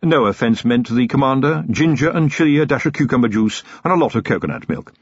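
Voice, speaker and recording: A man voicing a loyal, dry-witted male butler who speaks politely with quiet danger underneath. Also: mid-range voice, lively intonation, quick pace, slightly rough, dry recording, highs cut off, voice pushed up.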